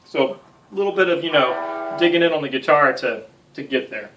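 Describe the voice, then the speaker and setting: A man's voice: a little high, expressive, a little fast, far from the mic, poor audio. An American man in his thirties giving a casual guitar tutorial with self-deprecating, joking asides. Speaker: guitar instructor. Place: small home music room.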